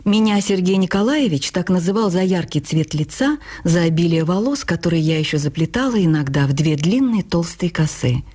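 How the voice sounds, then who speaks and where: Low, mellow woman memoirist. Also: a little fast, hardly any pauses, slightly rough. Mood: reflective storytelling. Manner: expressive, literary storytelling. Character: woman writer warmly recalling her youth. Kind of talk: dramatic memoir reading for radio.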